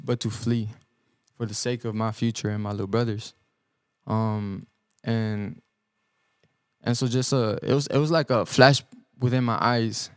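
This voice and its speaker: A young man born in El Salvador and raised in the US Midwest, a guest musician giving earnest, halting answers on a podcast, full of ums and restarts. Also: medium pitch, a little mellow, smooth, no echo. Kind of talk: reflective podcast interview answers. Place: podcast studio.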